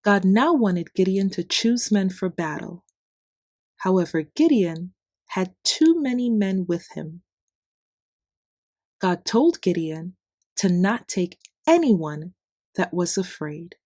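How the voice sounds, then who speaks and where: A woman narrates a children's story at a very slow, deliberate pace. Her voice sits slightly low and moves in lively swings of pitch, giving key words clear emphasis. The sound is close and dry. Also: no echo. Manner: deliberate, simple phrasing with expressive emphasis for children. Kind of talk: scripted read-aloud bedtime story narration.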